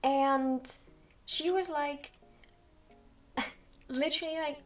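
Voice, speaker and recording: A young woman streamer chatting slowly in a soft, quiet voice, rambling with many ums. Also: high-pitched, steady tone, faint music behind. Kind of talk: soft-spoken livestream chat. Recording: distant from mic.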